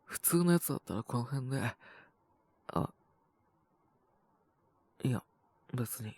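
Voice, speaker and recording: A man acting a slow, husky, cocky sick wolf-boy. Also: mid-range voice, lively intonation, a little mellow, no echo, exaggerated voice. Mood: low, provoking. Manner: blunt, rough casual speech, teasing, languid. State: sick with a cold and cough. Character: sick young male wolf-boy, cocky possessive teasing boyfriend. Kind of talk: Japanese situation-voice roleplay aimed at the listener.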